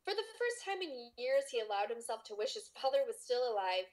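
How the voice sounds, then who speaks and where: Animated woman reading a story aloud. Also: on the high side, brisk. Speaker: podcast host reading a story. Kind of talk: expressive story narration.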